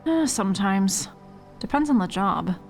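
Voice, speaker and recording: A bored guild clerk who answers offhandedly, as a woman plays her in a fantasy audio drama. She talks slowly and casually. Faint music runs underneath. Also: mid-range voice, lively intonation. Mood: offhand. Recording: music behind the voice.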